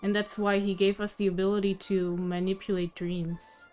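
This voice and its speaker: A woman's voice: mid-range voice, flat delivery, normal speed, a little mellow, faint music behind. A woman streaming a game, musing aloud and reacting casually to the story.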